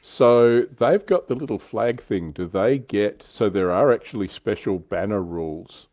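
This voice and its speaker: Low voiced guy co-hosting a wargaming stream, explains stuff casually, dry close sound. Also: expressive, a little slow, a little mellow, no echo. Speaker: livestream co-host. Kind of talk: casual discussion of tabletop wargaming.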